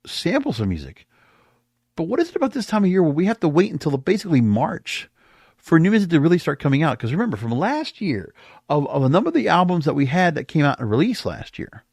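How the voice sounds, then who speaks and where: A man's voice: on the high side, big pitch swings, brisk, creaky, darkish tone, husky, no room sound, studio quality. A man hosting a solo podcast, giving opinionated commentary in an emphatic, rambling way and often repeating himself. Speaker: podcast host.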